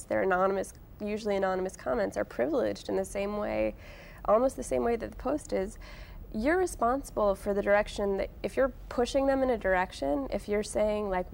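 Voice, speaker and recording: A woman with noticeable vocal fry speaks as an interview guest at a medium pitch. Her delivery is informal and conversational, full of restarts, with faint noise and rumble behind her. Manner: informal, frequent "like" and self-interruptions. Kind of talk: casual conversational interview answers.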